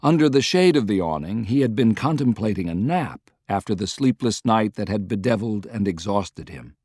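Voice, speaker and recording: A man's voice: lowish voice, expressive, normal speed, a little mellow, no room sound. A man reading a historical novel as scripted, dramatic audiobook narration.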